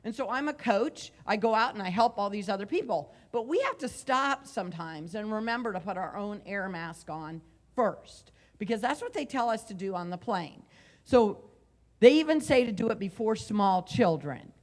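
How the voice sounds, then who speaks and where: Casting: woman in her forties, coach on a conference stage with a handheld mic, loud and chatty, repeats herself. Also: mid-range voice, expressive, normal speed. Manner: loud, conversational, with repeated phrases. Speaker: motivational speaker and coach. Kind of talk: live motivational talk. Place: hotel conference room stage. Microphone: handheld microphone.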